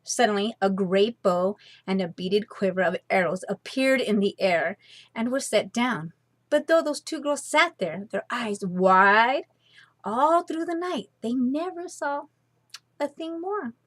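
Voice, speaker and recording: A woman reading a children's story aloud as storyteller, expressive and dramatic with deliberate phrasing. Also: a little high, dry recording.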